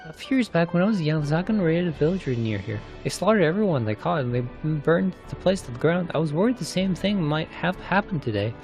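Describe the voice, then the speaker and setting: An earnest fantasy game villager voiced by a man, haunted by an old raid. The pitch is lifted well above his own and the way of talking is plain, with music behind. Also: animated, brisk, hardly any pauses, darkish tone. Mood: somber, worried.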